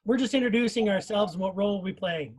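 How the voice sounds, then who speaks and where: A man's voice: sits high, fast talker. A man hosting a casual group live stream over a video call. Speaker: host of a cast live stream.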